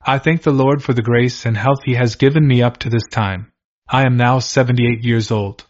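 Man reading a diary, dull lo-fi sound. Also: mid-range voice. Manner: measured, steady first-person reading. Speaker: reader. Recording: slightly dull lo-fi recording.

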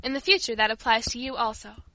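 A woman's voice: fairly high pitch, expressive, fast talker, sharp tone, no room sound. A woman voicing a cold, strict father in an audiobook, speaking in a curt, formal, authoritarian way. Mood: stern, measured. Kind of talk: audiobook character voice.

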